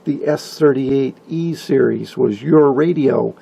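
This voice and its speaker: Gravelly, husky older man narrating. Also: mid-range voice, slow pace, dark tone. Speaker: hobbyist video narrator. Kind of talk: informal scripted narration about vintage radios.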